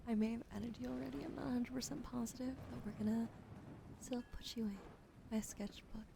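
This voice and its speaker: A woman streaming ASMR in a soft, soothing whisper, greeting and thanking her chat. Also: on the high side, steady tone, brisk, vocal fry. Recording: noisy hiss behind the voice.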